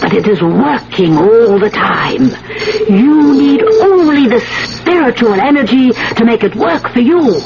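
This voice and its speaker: A fervent village sorceress in an old radio play, speaking with slow, swooping phrases and a gravelly creak. She commands like someone chanting a spell, over music. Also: fairly high pitch, animated, lo-fi sound, very noisy. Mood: fervent, commanding. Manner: imperious, incantatory. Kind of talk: 1970s radio drama acting.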